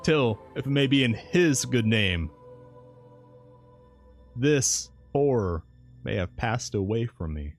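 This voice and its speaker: A man reading aloud and voicing a brave, grief-stricken young woman in a storybook, pitching his voice up for her. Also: animated, takes it slowly, pauses often, soft background music. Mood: sorrowful resolve.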